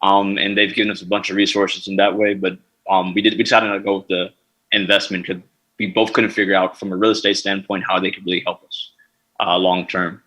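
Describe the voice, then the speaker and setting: Low-voiced man answering questions. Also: level tone, sharp tone, rough recording. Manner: halting, many fillers and restarts. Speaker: startup founder pitching.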